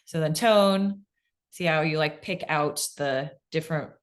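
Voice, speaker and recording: A woman's voice: low-pitched, measured pace. A woman giving a casual, conversational workshop talk on a video call, emphatic and often checking in with her listeners. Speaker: podcast pitching workshop presenter.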